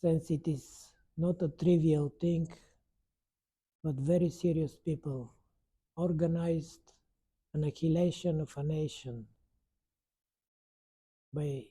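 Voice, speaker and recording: A man, giving an oral history interview as a survivor, halting and searching for words in an earnest way. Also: a little high, warm tone, breathy edge. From Bulgaria. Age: 90s.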